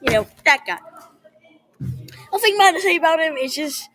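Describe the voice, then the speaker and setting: A woman vlogging casual, rambling opinions in an excitable, easily exasperated way, full of exclamations. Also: high voice, normal speed, soft background music. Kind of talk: casual rambling opinion commentary on transit announcements. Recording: busy chattering room with crowd noise. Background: other people's conversation.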